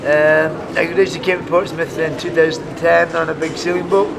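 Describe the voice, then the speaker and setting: A man's voice: on the high side, flat delivery, quick pace, distant mic. A middle-aged man telling his personal story casually, with some hesitation as he pieces it together. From Scotland. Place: outdoors in a town by traffic. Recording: outdoors, off-mic, clear traffic noise.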